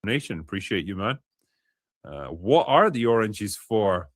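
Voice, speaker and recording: Low-pitched male commentator with a scornful tone and a dry, distant sound. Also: big pitch swings, measured pace, no echo. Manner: scornful, mocking. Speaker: commentator in a played clip.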